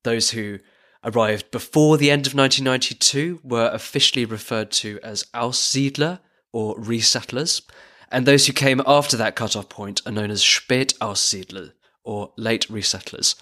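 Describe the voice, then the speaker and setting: A man's voice: medium pitch, a bit bright. A man co-hosting a history podcast, reading scripted narration with dry, wry humor. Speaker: podcast co-host.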